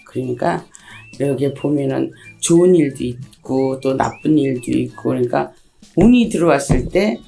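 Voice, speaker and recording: A middle-aged woman, a shaman fortune teller, hoarse from a cold, explaining things slowly and plainly in an interview. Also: rumbling low, animated, mellow, faint music behind, rough recording. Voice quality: husky, gravelly. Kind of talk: interview answers.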